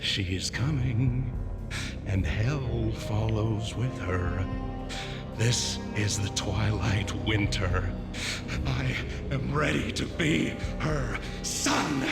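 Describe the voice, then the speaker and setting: A man's voice: on the low side, drawn-out words, creaky, heavily acted. A man playing a deranged, occult-obsessed mob boss in a video game, ranting theatrically like a wolf and swinging from growl to shriek. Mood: ominous, feverish. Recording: reverberant hall, loud music.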